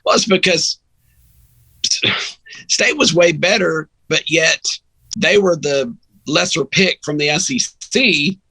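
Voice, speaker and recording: A man's voice: on the high side, takes it slowly, pauses often, pushed and bright, dry recording, low-quality recording. A man talking sports casually as a podcast guest, opinionated and emphatic, often rambling into asides. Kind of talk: sports talk, casual conversation.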